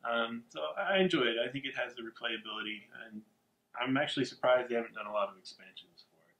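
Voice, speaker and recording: Male co-host, average pitch, steady explainer with fillers, roomy echo. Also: quick pace. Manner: steady explainer, frequent ums. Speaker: podcast co-host. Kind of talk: casual game explanation.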